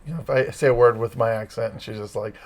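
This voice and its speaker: A man's voice: medium pitch, fast talker. A middle-aged man from Massachusetts with a Massachusetts accent, chatting as a guest and telling rambling stories full of fillers. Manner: rambling, storytelling, many fillers. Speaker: campus ministry leader, guest. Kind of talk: casual interview chat.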